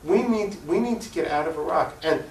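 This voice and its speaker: A man's voice: fairly high pitch, expressive, fairly quick, creaky, a little mellow. A man speaking off the cuff as an activist interview guest, opinionated and emphatic, often posing rhetorical questions. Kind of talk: unscripted political argument in an interview. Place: room recording for a cable access show. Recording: echoey room, distant mic, faint noise.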